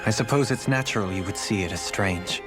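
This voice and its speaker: A man acts as a refined game nobleman with a lowish voice, weighed down by guilt. He speaks formally and eloquently in measured, solemn phrases, with music playing clearly behind him. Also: natural voice. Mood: solemn, reflective. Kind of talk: video game cutscene acting.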